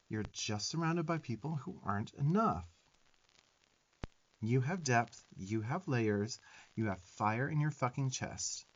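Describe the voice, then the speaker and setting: Sassy young demon twink, acted by a man, swooping pitch. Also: lo-fi sound. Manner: sassy, emphatic, profane tough-love delivery. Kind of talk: ASMR affirmation roleplay.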